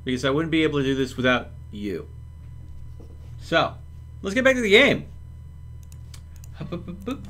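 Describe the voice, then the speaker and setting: A man's voice: a little high, huge pitch swings, normal speed, long pauses, a bit bright. A man hosting a livestream, casually talking through his game step by step and chatting with viewers. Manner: thinks aloud step by step.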